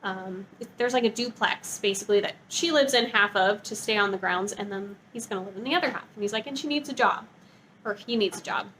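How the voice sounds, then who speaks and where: A woman's voice: mid-range voice, a little fast, fairly bright tone, a little hiss or hum, rough recording. A woman giving a casual, chatty book review in a bubbly, gushing, informal way.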